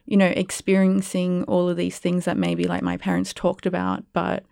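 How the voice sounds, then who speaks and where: A young Australian woman with a lowish voice that has a gravelly edge yet stays smooth. She talks reflectively, with frequent ums and likes, and sounds close and dry. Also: monotone, moderate pace, a little mellow, no room sound. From Perth, Western Australia. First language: Spanish. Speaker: guest, arts curator and producer. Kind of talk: casual interview.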